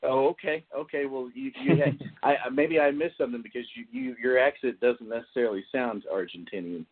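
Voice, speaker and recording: A man hosting a podcast discussion, speaking haltingly and often repeating his words. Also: medium pitch. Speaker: podcast host. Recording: tinny phone-like call audio.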